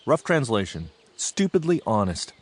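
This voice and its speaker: A man's voice: medium pitch, slight background noise, lightly acted. An American actor playing an earnest, reflective Japanese American man recalling his farm childhood in a dramatic audio theatre monologue. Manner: expressive, deliberate storytelling with weighted pauses.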